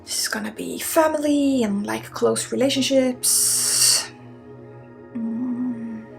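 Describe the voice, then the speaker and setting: A native Swedish woman guides a spiritual ASMR session with a fairly high, creaky voice. She drifts between soft speech and whispering, blunt and casual with halting asides. Music plays clearly behind her. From Sweden. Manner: slips often between soft voice and breathy whisper. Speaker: spiritual healer and ASMR host. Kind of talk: ASMR energy healing, intimate guided talk.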